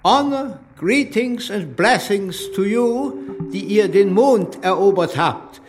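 Slow, halting, ceremonious old Pope. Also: male voice, sits high, expressive, music in the background. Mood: solemn, halting. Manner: halting, ceremonious, switching between languages. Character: elderly Pope, halting, ceremonious, addressing astronauts. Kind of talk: radio play acting.

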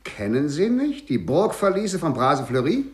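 An actor in a 1950s German radio play, playing a sly, worldly crook in prison who haggles and mocks in a wheedling way. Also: male voice, fairly high pitch, wildly swooping pitch, a little slow. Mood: bargaining. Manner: wheedling, sardonic, chuckling asides. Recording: 1950s radio drama recording.

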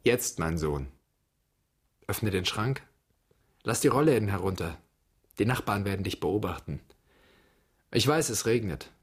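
A man's voice: on the low side, lively intonation, a little slow, pauses often, slightly rough. An actor playing an insistent inner voice that becomes an imagined dead father, speaking tersely in a literary radio drama. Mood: commanding.

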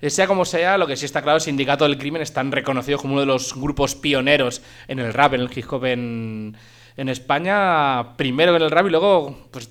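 A male radio host with a Spain accent talks in an informal, digressive way. His voice sits at a middle pitch as he chats and introduces music. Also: a bit bright. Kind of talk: casual chat, presenting music.

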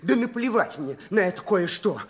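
A man's voice: sits high, expressive, normal speed, breathy edge. An actor playing a hot-headed, stubborn eccentric inventor in a 1960s Soviet radio play, blustering and repeating his indignant phrases. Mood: furious.

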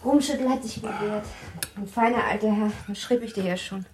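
A young wife in a radio play, played by an actress. Her voice is medium in pitch and conversational, lightly brushing things aside. Faint noise and clinking dishes sit behind her. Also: brisk, lightly acted. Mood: light, evasive. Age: young adult. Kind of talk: conversational German radio drama.

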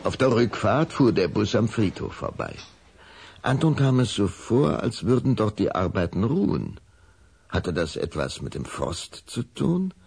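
A man tells a children's story as narrator, in a low voice with a raspy, creaky edge. His delivery is dramatic, with very animated intonation. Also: measured pace.

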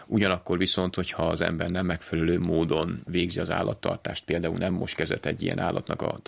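A man speaking as an expert veterinarian in an interview, explaining in a measured, careful, formal way. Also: sits low, completely flat delivery, creaky, breathy edge. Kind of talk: explanatory radio interview answers.

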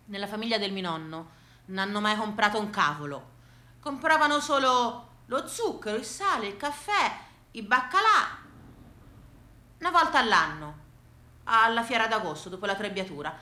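A woman with a Tuscan accent telling a theatrical monologue for radio in an animated, folksy way. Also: medium pitch, moderate pace, roomy sound. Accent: Tuscan Italian. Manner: animated, folksy, dialect-laced delivery. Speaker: actress and solo storyteller.

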